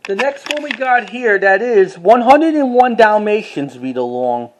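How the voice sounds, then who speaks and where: Casual collector-vlogger, medium-pitched voice, halting delivery that often repeats and corrects itself. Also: sing-song, rough recording. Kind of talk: casual show-and-tell commentary.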